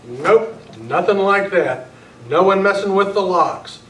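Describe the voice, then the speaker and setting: A man's voice: high voice, expressive, unhurried, a bit bright. A man playing a plain-spoken, matter-of-fact campus security chief in an amateur radio script reading. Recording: roomy, distant camera mic, faint noise.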